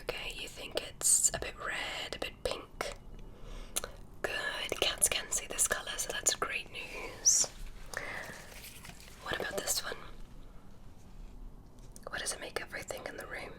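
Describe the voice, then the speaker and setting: A woman voicing a curious alien examiner who tests a human, speaking in a soft, clinical ASMR whisper. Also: unhurried, long pauses, close to an everyday voice. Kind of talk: ASMR medical roleplay.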